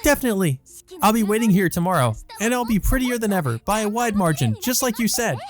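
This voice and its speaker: A man acts out an excitable young vampire woman in a visual novel. The voice is pushed high and girlish, dramatic and possessive, swinging between indignation and teasing. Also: fast talker, soft background music. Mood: flustered, elated. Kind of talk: visual novel character read aloud.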